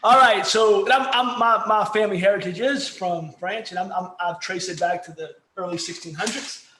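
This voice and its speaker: A man's voice: high-pitched, moderate pace, sharp tone, slight background noise. A man co-hosting a podcast, chatting casually over beers in a rambling, jokey way that keeps interrupting itself. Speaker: podcast co-host. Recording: roomy echo.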